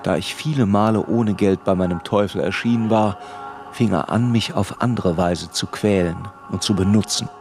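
Actor as grown man looking back on an anxious childhood, lowish voice, grave audiobook-like radio drama narration, music around. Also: moderate pace, a little mellow, lightly acted. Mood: haunted, grave. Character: grown man recalling his anxious, tormented boyhood. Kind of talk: literary first-person narration in a radio play.

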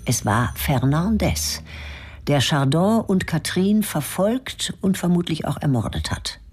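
A woman narrating a radio drama in a measured, matter-of-fact way. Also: sits low, big pitch swings, darkish tone, breathy edge. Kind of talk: scripted narration.

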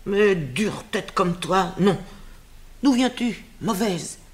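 An actress voicing an old royal nurse who scolds and dotes on her charge, in a stage drama. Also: slow. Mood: scolding. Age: older. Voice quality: lively scolding voice, swinging high and low.

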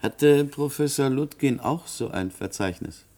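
An actor playing a probing, sceptical official questioner in a German science fiction radio play, formal in manner. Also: male voice, medium pitch, measured pace, a little mellow, natural voice.

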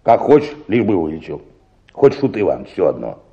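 An actor playing an insolent, stubborn middle-aged peasant choir singer in a radio drama, gruff and mocking. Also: male voice, sits low, sing-song, moderate pace, mellow, lo-fi sound. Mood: surly, mocking. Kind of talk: Russian radio drama acting.

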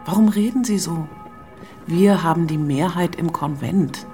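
A woman's voice: fairly low pitch, unhurried, warm tone, soft background music. An actress playing a proud, resolute and scornful woman of politics in a radio play, speaking intensely. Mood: urgent.

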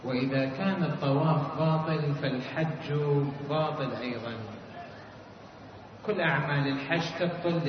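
Cleric preaching in an echoey hall. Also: male voice, a little high. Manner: explanatory, poses listener questions and answers them; chanted opening invocation. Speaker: cleric giving religious rulings. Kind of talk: sermon on religious law. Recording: distant echoey hall, dull lo-fi with noise.

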